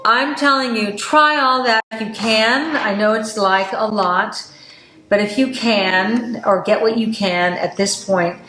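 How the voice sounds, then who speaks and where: An older woman hosting a podcast, talking in a chatty, animated way with many fillers. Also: fairly high pitch, measured pace. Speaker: podcast host. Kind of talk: casual health talk. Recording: echoey room with faint noise.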